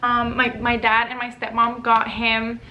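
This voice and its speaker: A woman's voice: on the high side, monotone, measured pace, highs cut off, slight background noise. A young woman with a Puerto Rican accent, whose first language is Spanish, casually showing off workbooks and rambling with lots of "um" and "like". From Puerto Rico. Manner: rambling, many "um" and "like". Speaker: homeschooling mom vlogger. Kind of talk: casual show-and-tell.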